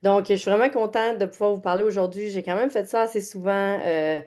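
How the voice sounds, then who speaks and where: A woman's voice: medium pitch, brisk, a little mellow. A woman from the Gaspésie in Quebec with a Québécois accent, introducing herself as a real estate agent in a friendly webinar on a video call. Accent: Québécois French. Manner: chatty, self-introducing, laughs often, mixes English words. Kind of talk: webinar presentation.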